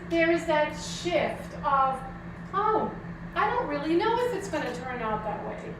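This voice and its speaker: A woman from the Netherlands gives an informal, reflective spiritual sermon as a pastor, talking conversationally to her congregation. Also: sits high. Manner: conversational, reflective, addresses listeners with questions. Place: church service hall, indoors. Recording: echoey room, distant mic, clearly noisy.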